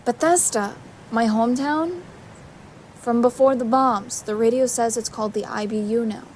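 A woman's voice: a little high, moderate pace, darkish tone, slight background noise, close to an everyday voice, poor audio. A woman playing a stubborn, desperate young female survivor in an audio drama. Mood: pleading.

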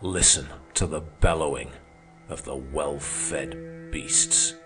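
A man's voice: extremely low pitch, fairly even intonation, slow, a bit bright, pitched below the performer's own voice, exaggerated voice. A man voicing a fiery, defiant socialist orator who denounces his opponents in a thundering, declamatory way. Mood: scornful. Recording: music playing underneath.

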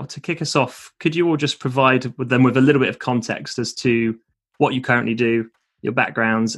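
A man's voice: mid-range voice, fairly bright tone. A man hosting a podcast, giving a scripted introduction and politely handing the floor to his guests. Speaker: podcast host.

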